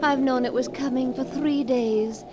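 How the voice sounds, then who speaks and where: A woman's voice: sits high, steady tone, measured pace. An actress playing a morbid, feverishly intense young wife on her deathbed in a 1940s radio melodrama. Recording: very noisy old radio recording.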